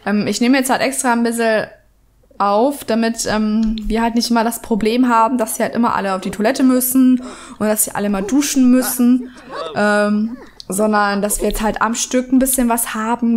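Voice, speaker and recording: A woman's voice: fairly high pitch, fairly even intonation, moderate pace. A woman with a cold chatting casually through her gameplay, rambling with many fillers at a desk microphone. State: has a cold. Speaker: let's play host.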